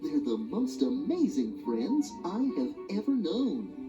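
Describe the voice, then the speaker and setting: A woman reading a rhyming children's animal alphabet book aloud in a sing-song, expressive way. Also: deep voice, slow pace. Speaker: storybook reader. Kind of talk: children's read-along narration. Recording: dull lo-fi audio with music behind.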